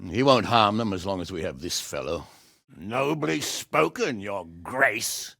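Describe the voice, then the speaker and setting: An actor playing a gruff, blunt middle-aged nobleman who commands and curses in a medieval video game, with a rough voice. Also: fairly low pitch, lively intonation, measured pace, pushed and bright, no echo, clean studio sound, full character voice. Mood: low, grave. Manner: blunt, commanding, sweary, sardonic. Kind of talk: video game character acting.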